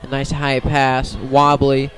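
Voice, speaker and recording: A man doing live radio play-by-play of a high school football game in clipped, descriptive phrases. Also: monotone, slow, fairly bright tone, a little hiss or hum. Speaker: play-by-play sports commentator.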